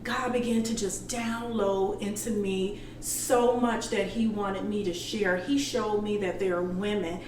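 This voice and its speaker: A woman's voice: mid-range voice, steady tone, unhurried, hardly any pauses, darkish tone, recorded from a distance, slight background noise. A woman sharing a faith testimony in a fervent, preacherly way at a table chat in a living room. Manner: fervent, preacherly, laughs easily. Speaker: church guest. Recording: roomy, from across the room.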